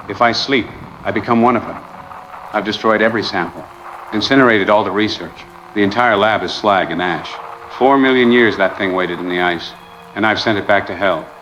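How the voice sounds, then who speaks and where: An actor playing an exhausted, haunted research doctor, grimly confessing in clipped sentences into a personal log for an audio drama. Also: male voice, fairly low pitch, normal speed, fairly bright tone, close to an everyday voice. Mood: exhausted, paranoid. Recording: dull, clearly noisy log recording.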